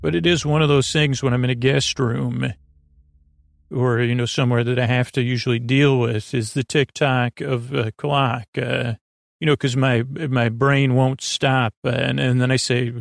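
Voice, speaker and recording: A man hosting a bedtime podcast, rambling softly and unhurriedly, often trailing off and correcting himself. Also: medium pitch, flat delivery, gravelly, dry recording, studio quality. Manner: meandering, halting, self-correcting; soft, unhurried and drowsy. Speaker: podcast host.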